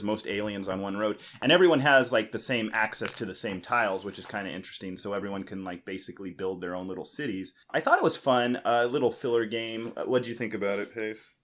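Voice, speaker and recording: A man with a fairly low voice hosts a loose, unscripted review chat. He talks casually, teases a bit and keeps nudging the conversation back on track. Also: fairly quick, few pauses, a bit bright, a little hiss or hum. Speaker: podcast host.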